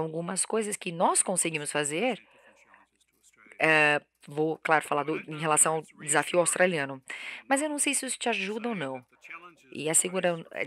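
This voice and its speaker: A woman giving live interpretation of a lecture, halting and often correcting herself mid-word. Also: low-pitched, fairly bright tone. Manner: halting, with frequent self-corrections and restarts. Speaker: simultaneous interpreter.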